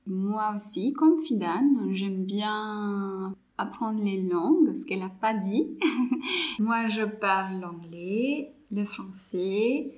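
Young woman from India, Marathi mother tongue, unhurried and halting, mid-range voice, thinking aloud. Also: mid-range voice, expressive, a little mellow. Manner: hesitant, thinking aloud with frequent pauses. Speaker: psychology master's student and volunteer mentor. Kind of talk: casual interview chat.

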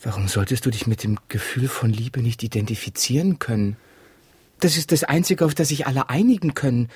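Husky, earnest, needy lover. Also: male voice, mid-range voice, brisk, a little mellow, no room sound. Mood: pleading, insistent. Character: earnest, needy lover pleading for love and conformity. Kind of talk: German radio drama acting.

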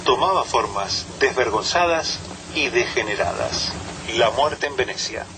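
A man's voice: medium pitch, expressive, a little slow. Man solemnly reading, noisy background. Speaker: reader of literary quotation.

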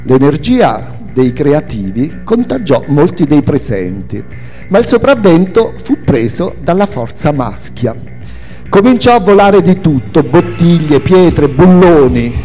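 Expressive high-pitched man reading aloud over music. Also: a little slow. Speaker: reader of a memoir.

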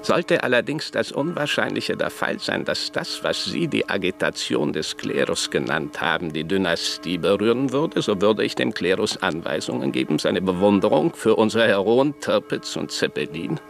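An actor playing a haughty, scheming archbishop who talks in a suave, patronizing way in a radio play. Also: male voice, on the low side, very animated, moderate pace, creaky, hardly any pauses, soft background music. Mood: guarded. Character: smooth, haughty, scheming old male archbishop. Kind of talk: German radio play acting.

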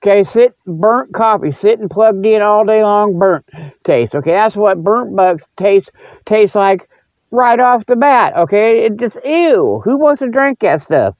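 A man's voice: high voice, animated, unhurried, no echo, dull audio. A man hosting a radio show with a casual, rambling comic monologue, speaking in a folksy, colloquial way and teasing his listeners. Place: cold spot, apparently outdoors.

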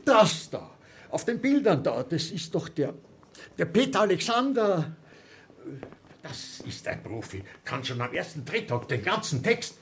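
Confused, slow-talking old man. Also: fairly high pitch, big pitch swings, slight background noise. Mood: rambling, unsettled. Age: older. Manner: halting, rambling, querulous. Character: confused, forgetful old man, former filmmaker, easily indignant. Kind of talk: radio drama acting.

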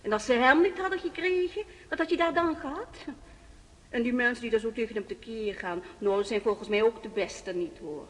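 A woman's voice: a little high, brisk, lightly acted. An actress playing a smug, gossipy village lady who is breezily dismissive, in a radio drama with long reverb. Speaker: village acquaintance in a remembered scene. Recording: long reverberant flashback echo.

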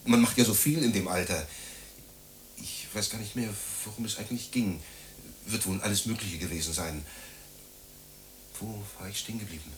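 An actor in a German radio drama playing a needy, self-pitying man who rambles haltingly and repeats himself. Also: lowish voice, slight background noise, lightly acted, rough recording. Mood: confiding, excited.

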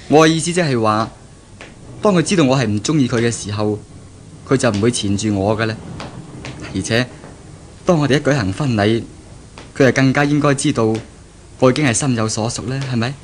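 An actor playing a pragmatic young graduate and fiancé in a 1970s Cantonese radio drama, explaining things earnestly and persuasively. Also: male voice, medium pitch, a little fast, pauses often, slight background noise, close to an everyday voice. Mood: reassuring. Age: young adult.

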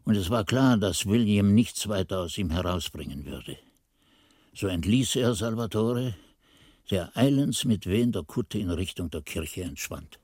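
An actor playing an earnest, reflective monk who tells his story in expressive, deliberate literary narration for a radio drama. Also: lowish voice, no room sound, clean studio sound. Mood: earnest recounting.

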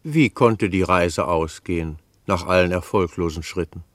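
An actor playing a questioning commentator who co-narrates a radio drama in formal, rhetorical language. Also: male voice, low-pitched, moderate pace, no echo, close to an everyday voice.

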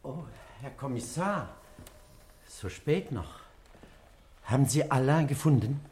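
A man's voice: mid-range voice, expressive, takes it slowly, a little mellow, a little hiss or hum. An actor playing a sickly, flustered man in a German radio drama, anxious, plaintive, evasive and stammering. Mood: uneasy.

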